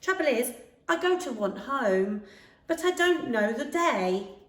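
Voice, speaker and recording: A woman acts out a young boy hedgehog in a children's story, excitable and well-mannered, getting his words in a muddle. The pitch is high and swoops about, heard a little way from the microphone. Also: a little slow. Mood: apologetic, muddled. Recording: roomy, distant microphone.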